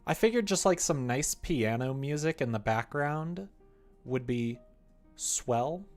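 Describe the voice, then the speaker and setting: A man's voice: medium pitch, lively intonation, slow pace, a little mellow, soft background music. A young man livestreaming casually at his computer, thinking aloud in a meandering, muttering way. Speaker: livestreamer developing a visual novel. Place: indoor room at a computer.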